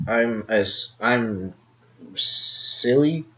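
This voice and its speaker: A man's voice: mid-range voice, extremely slow, a loud crowd. A young man co-hosting a casual chat between friends, a bit nasal, rambling with lots of fillers and repeated words. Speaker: podcast co-host. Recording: dull lo-fi home recording.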